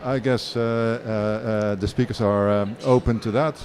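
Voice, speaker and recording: A man's voice: mid-range voice, fairly even intonation, unhurried, darkish tone. A man moderating a live interview, speaking hesitantly with many fillers and restarts.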